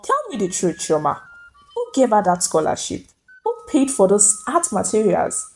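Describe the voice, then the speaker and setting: A woman's voice: medium pitch, huge pitch swings, moderate pace, darkish tone, faint music behind. A woman voicing a proud, jealous, wealthy madam who sneers and snaps at her employee in a dramatic folktale. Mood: sneering, suspicious. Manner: sneering, snapping.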